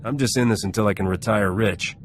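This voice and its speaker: An actor playing a cynical, unsentimental smuggler captain in a video game, blunt and sarcastic. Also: male voice, fairly low pitch, a little fast, slight background noise, natural voice.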